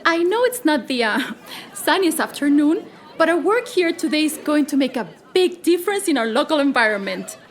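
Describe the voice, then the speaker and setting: Very high-pitched, chirpy schoolteacher. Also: female voice, very animated, moderate pace, a faint crowd. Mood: cheery, encouraging. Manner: bright, encouraging, instructing. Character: chirpy, upbeat female schoolteacher leading a field trip.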